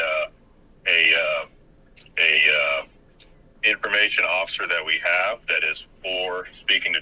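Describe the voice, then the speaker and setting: An American man speaking as a police lieutenant on an official phone call, hesitant and repetitive but formally polite, with a husky voice. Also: low voice, pushed and bright.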